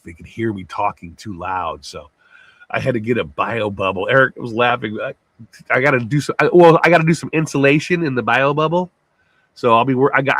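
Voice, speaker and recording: Co-host, male, gruff voice, relaxed and profane, dry mic. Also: mid-range voice, dry recording. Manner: loose, laughs and swears casually. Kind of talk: casual live-stream chat.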